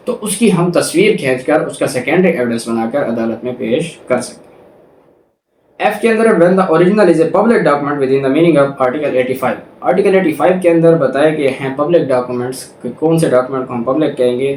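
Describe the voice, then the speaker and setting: A man's voice: on the high side, darkish tone. A man with a Pakistani accent giving an explanatory law lecture, mixing in English terms as he goes through clauses and examples. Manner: reads English clauses slowly, then explains with examples, code-switching. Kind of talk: explanatory lecture, reading statutes then explaining. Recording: echoey room with clear background noise.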